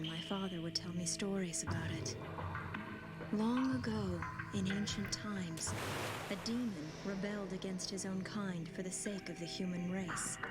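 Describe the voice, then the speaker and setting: An actress playing a grave young woman who recounts a demon legend in a hushed, dramatic game cutscene narration. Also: mid-range voice, natural voice. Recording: loud music under game audio. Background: loud cutscene music.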